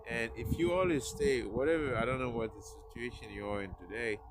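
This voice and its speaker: A man speaking English as a later language, giving an earnest motivational talk to his viewers, with many restarts and pauses. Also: medium pitch, background noise. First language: not English. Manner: halting, restarts words, earnest. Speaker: vlogger.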